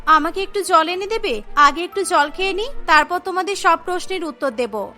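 A woman's voice: very high voice, expressive, normal speed, bright, forceful tone, soft background music, heavily acted. A woman voicing a weary, dutiful and caring sister-in-law in a family cartoon. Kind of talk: cartoon dialogue.

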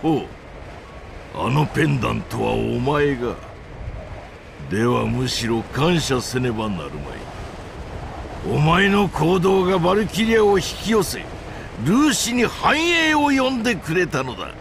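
An actor playing a haughty, fanatically loyal imperial general with a gravelly voice and grand, pompous phrasing in a Japanese video game. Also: male voice, on the high side, sing-song, measured pace, noisy background, full character voice. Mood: gloating. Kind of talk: theatrical Japanese video game villain acting.

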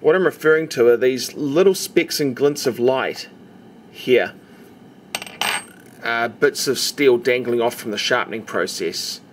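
A man's voice: mid-range voice, measured pace. A man with a mid-range voice narrates a hands-on tutorial in a casual way. He explains each step carefully. The homemade recording carries a faint layer of background noise. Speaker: knife maker presenting a tutorial. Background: noticeable background noise.

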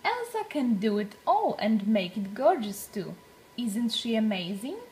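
A woman reading a storybook aloud for young children, with deliberate, expressive phrasing. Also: fairly high pitch, a little hiss or hum, poor audio.

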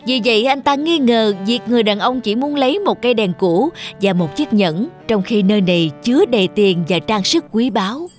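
Higher-voiced woman narrating children's stories. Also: expressive, fairly quick, hardly any pauses, soft background music. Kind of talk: scripted children's story narration.

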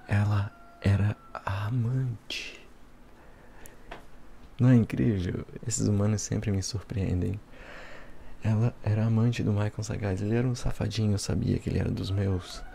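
A young man with a Brazilian accent playing a smug, playful devil in a soft-spoken, teasing ASMR roleplay. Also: on the low side, fairly even intonation, moderate pace, vocal fry, a little hiss or hum. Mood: intrigued, playful. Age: young adult. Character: smug, playful devil helping police as a consultant. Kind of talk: ASMR roleplay, soft talk mixed with whispering.

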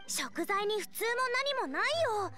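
A woman's voice: very high voice, expressive, normal speed, bright, forceful tone, soft background music, exaggerated voice. A woman voicing a spirited teenage girl chef in an anime-style game, earnest, confident and girlish. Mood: insistent. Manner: earnest, girlish, plain-spoken.

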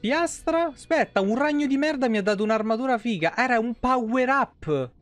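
A man's voice: very high-pitched, animated, moderate pace, soft background music. A young man from Italy doing casual live gameplay commentary, playful and foul-mouthed. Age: young adult. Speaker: live game streamer.

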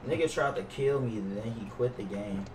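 A man's voice: lowish voice, normal speed. A young American man, a game streamer chatting casually in slangy role-play on a headset mic. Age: young adult. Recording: roomy, distant mic with loud game noise.

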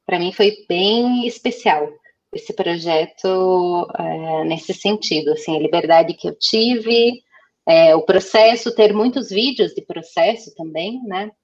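A woman's voice: fairly low pitch, slow pace. A young woman designer talking casually on a video call over a headset, chatty and full of fillers and restarts. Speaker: guest designer. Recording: slightly dull lo-fi call audio.

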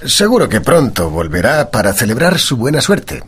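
Gentleman detective, period drama, shrewd, polite, mid-pitched, highly expressive. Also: moderate pace, breathy edge, exaggerated voice. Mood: genial. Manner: polite, composed, articulate. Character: shrewd, courteous, confident English gentleman detective. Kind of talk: dubbed period mystery drama acting.

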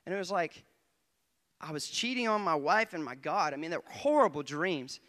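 A young man, a guest preacher, giving an excitable testimony in church on a handheld mic, often laughing and swinging between quiet asides and loud bursts. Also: fairly high pitch, moderate pace. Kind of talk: testimony sermon. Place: church stage before a congregation. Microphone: handheld microphone.

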